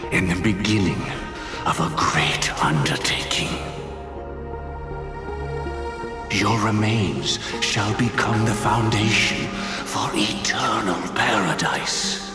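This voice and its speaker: An actor playing a solemn, ruthless schemer in a video game, speaking in grave, grandiloquent, formal phrases. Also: male voice, on the low side, slow. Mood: lofty, resolute. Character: solemn, ruthless older male schemer of lofty purpose. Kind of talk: theatrical video game voice acting. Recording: echoey, music underneath. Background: dramatic game music.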